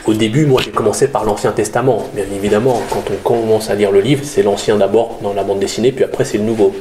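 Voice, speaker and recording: Low-voiced Parisian man giving testimony. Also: steady tone, fast, mellow. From Paris region, France. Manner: earnest, explanatory, with many "euh". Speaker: guest. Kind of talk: interview testimony. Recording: roomy sound with faint background noise.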